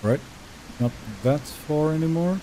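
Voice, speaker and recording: A man's voice: on the high side, a little slow, noisy background. A man with Finnish as his first language, streaming a game live and chatting casually as he reads out viewer messages. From Sweden. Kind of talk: casual live-stream chat while gaming.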